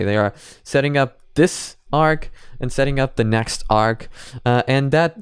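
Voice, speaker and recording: A man's voice: medium pitch, clean tone, no echo, studio quality. A man giving casual, off-the-cuff reaction commentary, thinking aloud in a hesitant, rambling way. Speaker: host of anime reaction videos.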